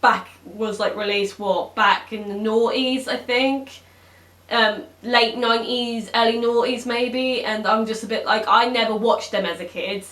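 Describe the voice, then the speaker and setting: A woman's voice: on the high side, steady tone, a little slow, a bit bright. A woman in her twenties chatting to camera at home, rambling with lots of ums and self-corrections. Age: 20s. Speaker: vlogger. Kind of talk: casual chat to camera. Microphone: camera, then webcam. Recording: echoey room.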